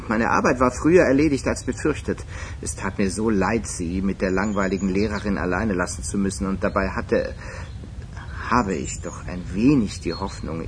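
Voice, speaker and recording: An actor playing a scheming, insistent suitor who talks his way smoothly along in a radio crime drama. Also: low voice, a little hiss or hum, natural voice. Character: smooth-talking, scheming adult male suitor hiding urgency.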